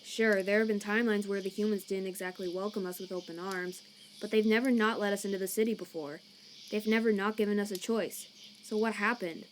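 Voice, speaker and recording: A woman's voice: mid-range voice, fairly even intonation, a little fast, a little hiss or hum, close to an everyday voice. A woman voicing a laid-back male skeleton monster in a fan comic dub, casual and wry as he shrugs things off. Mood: brooding. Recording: chirping crickets and night ambience behind.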